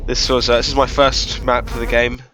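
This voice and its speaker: A man's voice: mid-range voice, level tone, brisk, low-quality recording. A man with a cold, sounding nasal and sniffly, giving a rambling, self-deprecating developer commentary on his own game map with lots of fillers. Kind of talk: casual gameplay commentary. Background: loud game music.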